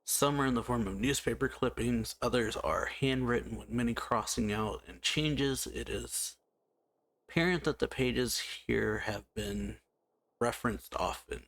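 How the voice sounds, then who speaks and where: Gravelly, musing gameplay host. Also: male voice, medium pitch, fairly bright tone, dry recording. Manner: slow, musing, reads text aloud with frequent hums. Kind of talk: casual let's-play commentary, reading game text aloud.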